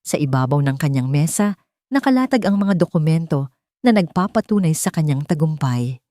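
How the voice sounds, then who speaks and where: Low-voiced Filipino woman telling a dramatic story. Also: expressive, normal speed, warm tone, no room sound, clean studio sound. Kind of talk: scripted dramatic narration of a love story.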